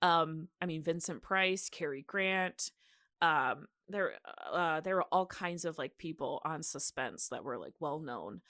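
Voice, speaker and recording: Lower-voiced woman podcaster, dry sound. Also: lively intonation, a little slow, fairly bright tone, no echo. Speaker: podcast co-host. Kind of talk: casual podcast chat with some scripted history.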